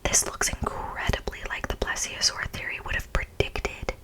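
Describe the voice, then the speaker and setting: A woman whispering as an ASMR host, softly reading from a script with casual asides. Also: moderate pace. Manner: soft, unvoiced whispering.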